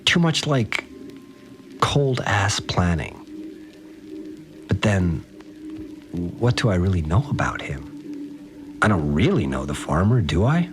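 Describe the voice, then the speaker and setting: Deep-voiced uneasy fixer over music. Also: male voice, big pitch swings, takes it slowly, long pauses, exaggerated voice. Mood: disbelieving, shaken, then doubtful. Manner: hesitant, plain-spoken, uneasy. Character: troubled, doubting male fixer recounting events. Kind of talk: confessional audio drama monologue.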